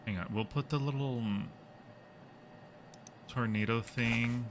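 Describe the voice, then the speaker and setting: A man's voice: medium pitch, fairly even intonation, slow, creaky, noisy background. A man casually commentating a horror game as a live streamer, joking and muttering to himself in a playful way.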